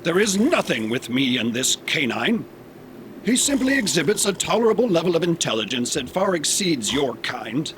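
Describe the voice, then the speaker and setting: A man's voice: fairly high pitch, sing-song, moderate pace, a bit bright. A man playing a haughty robot who scorns humans in a sci-fi comedy audio drama, speaking with pompous, formal diction over a noisy background. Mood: scornful. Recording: clear background noise and ambience.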